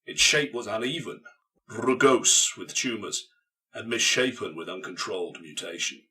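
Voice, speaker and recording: Deep, forceful male audiobook narrator. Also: measured pace, distant mic. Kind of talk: scripted dramatic narration.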